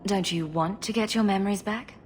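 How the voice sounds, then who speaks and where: A woman playing a caring, steady mage heroine in a fantasy video game, speaking earnestly and gently. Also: medium pitch, brisk, natural voice. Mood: concerned. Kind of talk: dramatic video game dialogue. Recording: reverberant sound with faint music.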